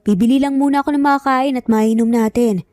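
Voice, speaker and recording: A woman's voice: fairly high pitch, fairly even intonation, brisk, a little mellow, dry recording, studio quality, lightly acted. A woman voicing an obedient male kidnapper's henchman in story dialogue. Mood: matter-of-fact.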